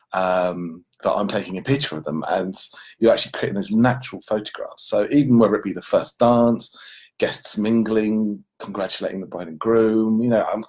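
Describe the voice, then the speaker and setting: A man's voice: lowish voice, a little mellow, slightly rough. A man co-hosting a casual, unscripted question-and-answer chat as a wedding photographer.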